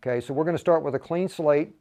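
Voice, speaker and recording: A man's voice: a little high, fast, mellow. A man hosting an informal step-by-step tech tutorial, thinking aloud as he demonstrates. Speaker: livestream host giving a radio programming tutorial. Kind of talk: casual step-by-step software tutorial.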